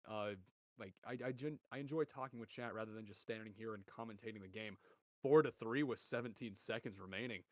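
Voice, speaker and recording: A young man with a deep voice streaming esports commentary from home, chatting with viewers and calling plays. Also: brisk, no room sound. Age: young adult. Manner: rambling, chatty, restarts often, bursts louder on goals. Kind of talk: casual solo game commentary and chat reading. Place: home room.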